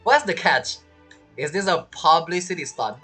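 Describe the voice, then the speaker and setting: A woman's voice: on the low side, animated, normal speed, fairly bright tone, soft background music. A woman giving casual, amused and teasing commentary while playing a video game, often repeating words hesitantly. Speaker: gamer streamer.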